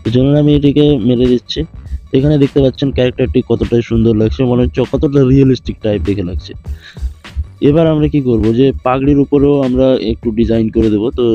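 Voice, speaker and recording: A man narrating a step-by-step software tutorial in an instructional way, repeating steps as he goes. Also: mid-range voice. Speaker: tutorial host. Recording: dull lo-fi voice track with music. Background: background music.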